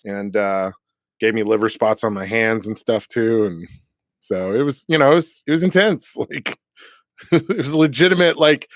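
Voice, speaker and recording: Brief: male actor guest, chatty and animated, filler-heavy, laughs a lot; dead room. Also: lowish voice, moderate pace, no echo, clean studio sound. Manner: animated, laughing often, many filler words. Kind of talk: casual chat.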